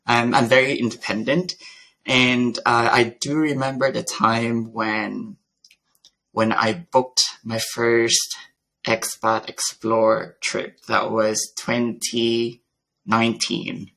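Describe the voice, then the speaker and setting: A man from the Philippines, a podcast guest, earnestly telling his story in a measured way. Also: medium pitch, fairly even intonation, creaky, pushed and bright. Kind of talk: conversational storytelling.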